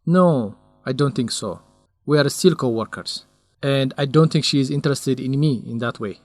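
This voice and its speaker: A man's voice: mid-range voice, normal speed, no room sound, soft background music, natural voice. An actor playing a young male researcher in a radio drama, lovesick and stubborn, speaking in an earnest, defensive way. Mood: gentle.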